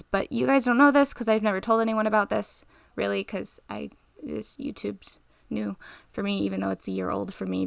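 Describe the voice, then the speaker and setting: A tired young woman with a slightly raspy voice, rambling casually in a voice-over while she draws, interrupting herself and giggling. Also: medium pitch, a bit bright, dry recording, clean studio sound. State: tired, short on sleep. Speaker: art channel host.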